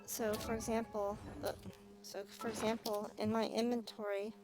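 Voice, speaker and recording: A woman's voice: medium pitch, level tone, moderate pace, noisy background. A woman streamer giving casual live gameplay commentary and chatting with viewers as she plays. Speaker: game streamer, VTuber. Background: game music.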